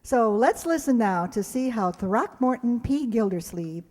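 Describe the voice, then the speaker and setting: A woman's voice: on the high side, lively intonation, unhurried, darkish tone. An older woman giving a chatty, scripted introduction to a live audience as presenter. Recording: echoey hall live recording.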